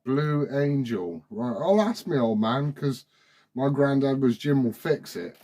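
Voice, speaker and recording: A man's voice: medium pitch, expressive, unhurried, warm tone. A forty-year-old man, chatting casually and familiarly over CB radio. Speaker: CB radio operator asking questions. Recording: CB radio transmission.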